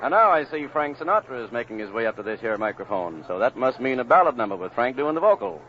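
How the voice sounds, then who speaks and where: A man's voice: mid-range voice, big pitch swings, quick pace, a little hiss or hum. A man with an American accent announcing a live 1940s big band radio broadcast. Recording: dull old radio broadcast with music. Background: big band music.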